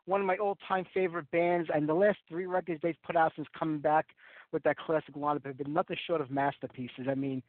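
A man's voice: fairly high pitch, dry recording. A middle-aged man hosting a radio show, chatty and enthusiastic, sounding stuffy and congested from a sinus infection. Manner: chatty, enthusiastic fan talk. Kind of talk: casual radio talk. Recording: tinny phone-line sound, very dry.

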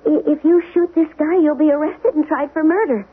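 An actress playing a worried, pleading wife in a 1970s radio drama, halting and placating as she speaks. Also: high-pitched, steady tone, close to an everyday voice. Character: worried, pleading wife trying to calm husband. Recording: muffled, band-limited 1970s radio broadcast.

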